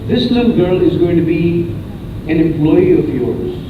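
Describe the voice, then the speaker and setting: A man with a booming voice giving a conference keynote, telling a story with dramatic pauses and emphatic repetition. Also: lively intonation, noisy background. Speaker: conference speaker. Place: conference hall. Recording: echoey hall from far off, dull sound, music behind.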